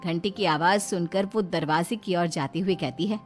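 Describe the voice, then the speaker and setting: A woman narrating an animated moral story in an expressive storytelling style. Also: fairly low pitch, moderate pace, music in the background.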